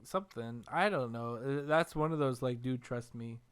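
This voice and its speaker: A young man hosting a casual podcast chat about video games, telling stories in an animated, self-deprecating way. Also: mid-range voice, normal speed, smooth. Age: young adult. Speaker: podcast host.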